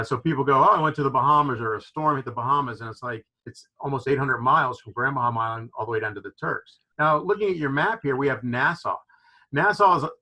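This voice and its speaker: Bright-voiced man explaining eagerly. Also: medium pitch, low-quality recording. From Florida. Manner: enthusiastic explainer, frequent "you know" fillers. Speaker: fishing lodge owner, guest. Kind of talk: video call interview. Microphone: video call microphone.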